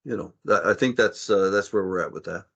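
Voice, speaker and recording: A middle-aged man with a deep, strong voice, speaking earnestly on a panel, repeating words and getting loud when excited. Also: brisk, vocal fry, husky, dull audio. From Canada. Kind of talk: panel discussion.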